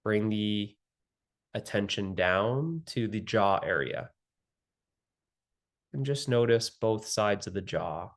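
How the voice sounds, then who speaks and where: A man with a fairly low voice guides a meditation. He speaks very slowly, in short phrases with long pauses between them. His manner is gentle and soothing throughout. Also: expressive.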